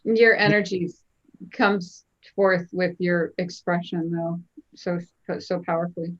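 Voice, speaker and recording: A woman co-hosting a casual chat, playful and quick to laugh. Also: on the low side, steady tone, muffled recording. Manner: giggly, playful. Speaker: co-host.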